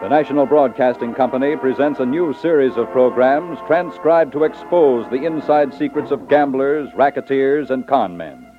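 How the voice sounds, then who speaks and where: A man's voice: mid-range voice, moderate pace, hardly any pauses. Old-time show announcer, man: scripted dramatic intro, tinny dry recording over faint brass music. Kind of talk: dramatic 1950s radio announcing. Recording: thin, narrow-band old radio recording.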